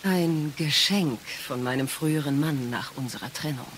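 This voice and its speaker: A woman playing a wise, sorrowful exiled goddess and mother in an audio drama, speaking in a measured, solemn way. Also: low voice, a little hiss or hum, lightly acted. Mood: bitter, solemn. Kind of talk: dramatic audio play acting.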